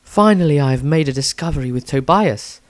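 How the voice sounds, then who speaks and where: A man voicing an earnest, compassionate woman healer, reading her fantasy diary in a measured, analytical way. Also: fairly high pitch, expressive, pitched above the performer's own voice, close to an everyday voice. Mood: earnest, concerned. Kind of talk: storybook fantasy diary narration.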